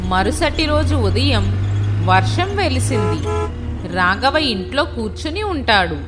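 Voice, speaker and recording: A female narrator tells a scripted story at a slow pace. Her voice sits slightly high, and music plays prominently behind it.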